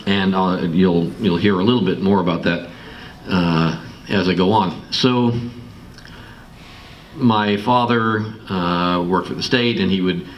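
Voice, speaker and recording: A middle-aged man from Massachusetts with an American accent, telling a personal story to listeners in an informal, halting, reflective way. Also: low voice, muffled recording. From Massachusetts, United States. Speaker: guest speaker telling his life story. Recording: distant mic, clearly noisy room recording.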